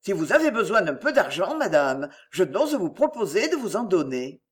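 A woman's voice: medium pitch, very animated, normal speed, close to an everyday voice. A woman voicing an anguished middle-aged bourgeois father in an audiobook, formal and urgent in manner. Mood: polite, restrained. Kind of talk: acted audiobook dialogue.

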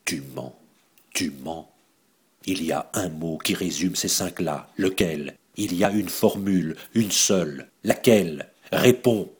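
A man with a husky voice voicing a cruel, scheming woman villain in an audiobook, in a cold and cutting manner. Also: low-pitched, unhurried. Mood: menacing.

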